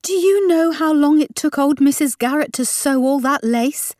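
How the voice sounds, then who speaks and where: A woman voicing an outraged, scolding middle-aged mother in an audiobook, shrill and dramatic. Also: very animated, normal speed, darkish tone, no room sound, clean studio sound. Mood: indignant.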